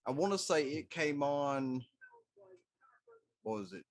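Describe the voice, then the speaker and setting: A man's voice: fairly high pitch, sing-song, slow pace. A middle-aged man co-hosting a talk show in casual, conversational style. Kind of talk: casual chat about pop culture.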